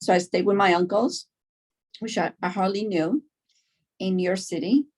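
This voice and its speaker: Middle aged cuban born lady doctor, mid pitch, telling her story kinda haltingly. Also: a little mellow, husky. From Cuba, later Florida. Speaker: doctor, interview guest. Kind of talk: conversational personal account.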